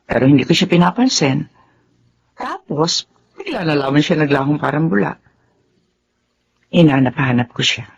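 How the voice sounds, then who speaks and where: A woman with a Filipino accent playing a gentle, knowing middle-aged mother in a radio drama. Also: sits low, husky, lo-fi sound, heavily acted. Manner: coaxing, reflective. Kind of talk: Tagalog radio drama acting.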